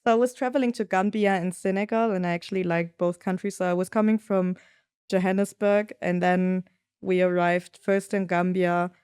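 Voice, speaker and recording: A young woman from Germany, a podcast guest, telling travel stories in a thoughtful, lively way. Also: on the low side, normal speed, clear, resonant tone, no room sound, studio quality. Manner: thoughtful storytelling, lively when quoting others. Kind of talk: casual conversational interview.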